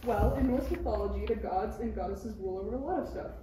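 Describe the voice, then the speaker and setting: A woman's voice: medium pitch, quick pace, dark tone, lightly acted. A woman playing a chatty, nonchalant young senator talking as an exasperated daughter in a parody read-through. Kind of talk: live table read of a parody script. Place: room at a table read. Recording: roomy distant recording with clear noise.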